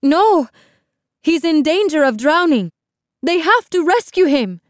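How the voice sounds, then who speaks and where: An alarmed young woman acted by a female narrator calls out in an audiobook story. She is high-pitched, urgent and protective, insisting loudly in a dry, close recording. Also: very animated, unhurried, no echo. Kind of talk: audiobook character dialogue.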